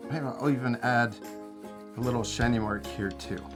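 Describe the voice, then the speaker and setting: A man's voice: mid-range voice, moderate pace. Man teaching drawing over music. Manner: simple, encouraging instructions. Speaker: host of a children's drawing tutorial. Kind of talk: step-by-step drawing lesson for kids.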